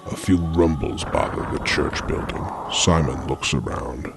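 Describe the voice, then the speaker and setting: A man narrating a comic audio drama as a storyteller, with a deadpan mock-epic delivery. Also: very deep voice, unhurried, creaky. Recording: dramatic music behind the voice.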